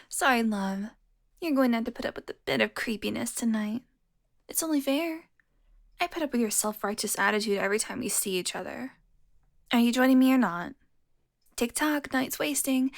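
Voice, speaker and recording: Female vampire voiced by a woman for intimate audio roleplay: predatory, mocking, seductive, fairly high voice with whispered touches. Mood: hungry, savoring, then taunting. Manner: taunting, sultry, drops into breathy whispers. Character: teasing, predatory female vampire, smug and flirtatious.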